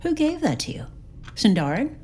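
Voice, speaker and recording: A woman's voice: sits high, very animated, normal speed, mellow, slight background noise. A woman playing a probing, pointed investigator questioning a spaceship crew in an audio drama. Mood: pressing, skeptical.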